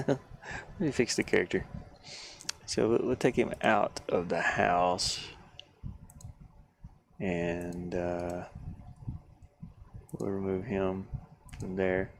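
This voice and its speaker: A man with a low, gravelly voice talks casually on a livestream. He rambles along slowly and haltingly in an easygoing way, with clear noise in the background. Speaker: livestreamer, hobby game artist. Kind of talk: casual livestream chat while working.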